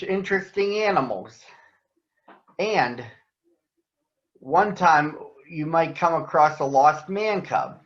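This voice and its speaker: A man's voice: on the high side, fairly bright tone. A man hosting a virtual picture-book read-aloud, addressing young schoolchildren and their teachers. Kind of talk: picture-book reading to children. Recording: roomy echo, dull lo-fi sound.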